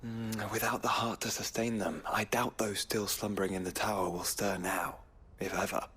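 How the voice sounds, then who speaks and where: Low, thoughtful nobleman. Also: little pitch movement, normal speed, a bit bright, slightly rough. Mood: musing. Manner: formal, articulate, considered. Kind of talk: dramatic video game cutscene acting.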